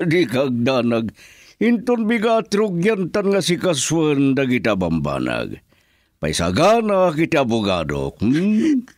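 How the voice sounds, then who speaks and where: A man playing a smooth-talking wealthy husband in a radio drama, coaxing with drawn-out, theatrical delivery. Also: a little high, wildly swooping pitch, heavily acted. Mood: soothing, amused. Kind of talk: Ilocano radio drama acting.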